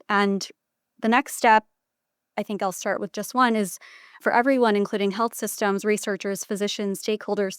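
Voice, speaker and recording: A woman speaking as a physician guest in an interview, giving considered answers in measured, deliberate phrases. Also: mid-range voice, clean tone, no room sound, studio quality.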